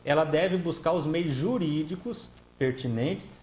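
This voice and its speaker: A man on a TV panel debate, calmly and methodically explaining legal points. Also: fairly high pitch, unhurried, reverberant. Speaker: legal commentator, panel guest.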